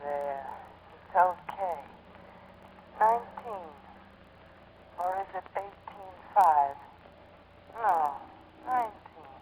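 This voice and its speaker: A woman's voice: on the low side, animated, drawn-out words, long pauses. An actress playing a plucky young woman racing pilot who is hard on herself, in 1940s radio style. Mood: determined. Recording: faintly noisy 1940s radio recording.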